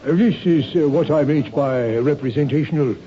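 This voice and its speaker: Guy doing polite male salesclerk in old radio drama, slightly high voice, hesitant, muffled lofi sound. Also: moderate pace, mellow, close to an everyday voice. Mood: polite, attentive. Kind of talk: 1970s radio drama acting. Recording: dull lo-fi old radio recording.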